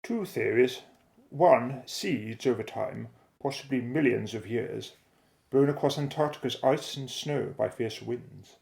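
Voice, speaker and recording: A man playing an unflappable, methodical explorer and airship pilot in an audio drama, speaking in a measured, old-fashioned, explanatory way. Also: mid-range voice, gravelly, darkish tone. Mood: thoughtful.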